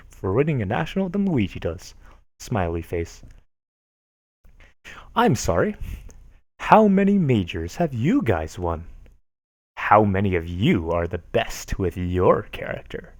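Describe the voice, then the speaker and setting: A man on a casual stream, reading forum posts aloud in a mocking, animated voice with frequent laughter. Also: on the low side, a little slow, no echo. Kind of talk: casual dramatic reading with commentary.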